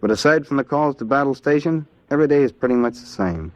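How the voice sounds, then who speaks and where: A man with an American accent answering in a scripted 1940s radio interview as a Navy seaman, in a plain, earnest, measured way. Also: mid-range voice, lively intonation, no echo, close to an everyday voice. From Ohio, United States. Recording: dull vintage 1940s radio recording.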